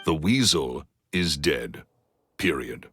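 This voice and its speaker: An actor playing a gruff, irritable businessman in his thirties, curt and threatening, in a video game. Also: rumbling low, animated, slow, a bit bright, a little hiss or hum, heavily acted. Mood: impatient, scornful. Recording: music under dialogue.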